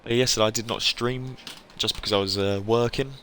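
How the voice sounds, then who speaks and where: A man's voice: mid-range voice, fairly even intonation, fairly quick, bright, forceful tone, a little hiss or hum. A man livestreaming a video game, chatting casually with viewers in an earnest, effusive way and repeating himself for emphasis.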